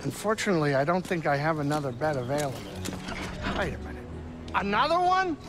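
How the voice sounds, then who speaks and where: A man playing an excitable, grumbling pilot in a video game cutscene, talking in an exasperated, wary manner. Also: a little high, fast talker, noisy background. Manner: exasperated, animated. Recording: music behind the voice.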